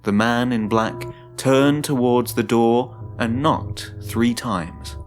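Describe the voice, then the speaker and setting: A man giving a dramatic, suspenseful first-person narration of a horror story, in a deliberate manner. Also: mid-range voice. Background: music.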